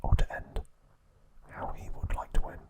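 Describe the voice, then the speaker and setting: A man hosting an ASMR video, giving a whispered recap with playful asides. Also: moderate pace. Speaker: ASMR channel host.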